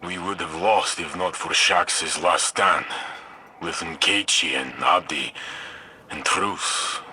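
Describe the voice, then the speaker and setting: Video game Titan hero: hearty, blunt, very forceful tone, slow and weighty, music underneath. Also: male voice, deep voice, slight background noise, heavily acted. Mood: reflective. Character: hearty, proud, heroic male armored Titan warrior.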